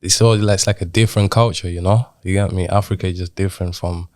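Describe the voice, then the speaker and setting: Deep-voiced young man telling his story. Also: steady tone, fast talker, darkish tone, dry recording, clean studio sound. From Zimbabwe, moved to England in childhood. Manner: colloquial British street slang, frequent "you get me" tags. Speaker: podcast guest. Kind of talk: casual personal storytelling in an interview.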